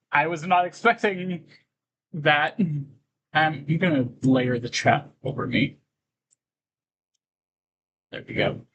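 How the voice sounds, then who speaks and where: A man doing casual livestream commentary on a game, reading on-screen text aloud and halting to break into asides. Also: fairly high pitch, a bit bright, breathy edge, poor audio.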